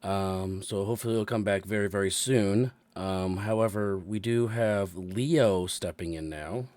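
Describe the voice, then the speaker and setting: Man, hoarse from a cold, game host, deep-ish voice, dry recording, lots of ums. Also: darkish tone, no room sound. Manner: halting, many ums and restarts. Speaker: dungeon master and show host. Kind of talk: casual announcements and chat. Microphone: new microphone.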